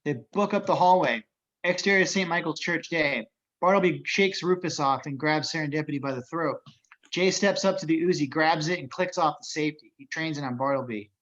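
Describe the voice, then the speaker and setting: A man's voice: on the high side, a little fast, fairly bright tone, dull audio. A man narrating the action of a script as reader of stage directions in an amateur table reading.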